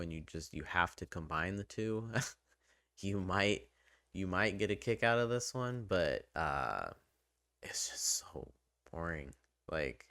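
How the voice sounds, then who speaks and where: Slow, low-voiced man reviewing games casually. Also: fairly bright tone, smooth, no echo, clean studio sound. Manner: rambling, conversational, opinionated. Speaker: gaming video reviewer. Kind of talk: casual unscripted game review commentary.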